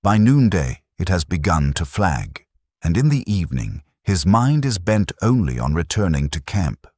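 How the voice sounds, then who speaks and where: A man narrates an audiobook in an extremely deep voice with a husky grain. His delivery is measured and solemn, yet the pitch moves noticeably through each phrase. Every statement is set down as a firm declaration. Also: sing-song, a little mellow, dry recording, studio quality.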